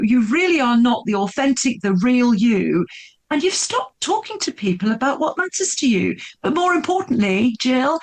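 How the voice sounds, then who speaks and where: A woman's voice: sits high, low-quality recording. Middle-aged woman on a podcast, earnest, keeps repeating words for punch. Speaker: podcast guest, speaker and coach. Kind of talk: candid storytelling interview.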